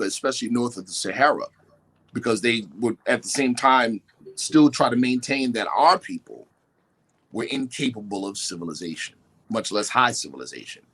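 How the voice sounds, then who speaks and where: A man's voice: medium pitch, husky. A man speaking as a guest on a talk show, lecturing emphatically and mimicking other people. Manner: animated, emphatic, quotes definitions and mimics others.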